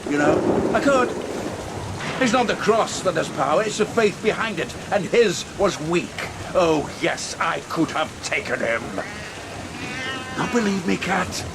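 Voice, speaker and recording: Sinister vampire lord, highish voice that swoops around, mocking and theatrical, loud rain and thunder behind. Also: male voice. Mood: gloating. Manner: taunting, theatrical, savoring words. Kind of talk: gothic horror audio drama acting. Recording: rain and thunder noise throughout.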